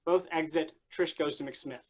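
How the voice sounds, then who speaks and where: Higher-voiced man reading stage directions. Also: normal speed, a little mellow. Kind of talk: scripted narration at a staged play reading.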